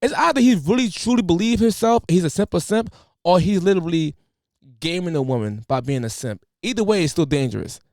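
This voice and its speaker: A young man from the United States hosting a podcast, ranting in an animated, preachy way with casual slang. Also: high-pitched, brisk, dry recording, clean studio sound. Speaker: podcast host.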